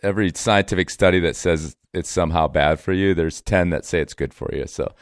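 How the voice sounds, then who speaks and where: A man with a low, deep voice hosts a podcast in a casual, conversational style. The sound is dry, with no room around the voice. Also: fairly quick, dry recording. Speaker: podcast host. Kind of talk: casual chat.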